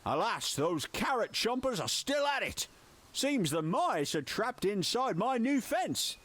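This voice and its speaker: An actor playing a friendly, folksy animal villager in a video game, jaunty and given to old-fashioned greetings. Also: male voice, high voice, big pitch swings, unhurried, fairly bright tone, heavily acted. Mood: jaunty fretting. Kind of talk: video game character dialogue.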